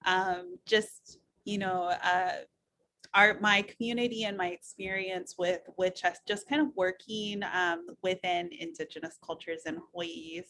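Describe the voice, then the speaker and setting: Middle-aged woman presenter, bright tone, casual and rambling, lots of fillers. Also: medium pitch. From United States (Missouri). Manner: loose and chatty, many fillers and restarts. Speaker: nurse practitioner giving a talk. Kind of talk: webinar presentation with slides.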